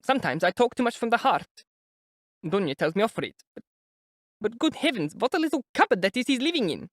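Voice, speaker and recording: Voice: mid-range voice, big pitch swings, brisk, no echo, studio quality, voice pushed up. A reader voicing an anxious, gushing older mother who talks in breathless exclamations. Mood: fretful. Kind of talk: audiobook character voice.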